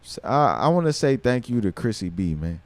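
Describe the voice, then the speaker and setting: A man in his thirties livestreaming, a rapper talking casually and swearing freely, mixing thoughtful musing with loud excitement. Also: mid-range voice, fairly quick, no echo. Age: 30s. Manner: reflective, then loud and excited with laughter and swearing.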